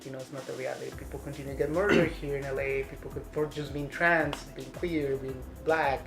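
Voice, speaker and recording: A man's voice: medium pitch, fairly even intonation, a little fast, vocal fry. A man from Mexico, talking passionately in a casual conversation, often asking "right?" to press his points. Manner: passionate, emphatic, says "right?" and "girl". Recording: echoey room with music behind.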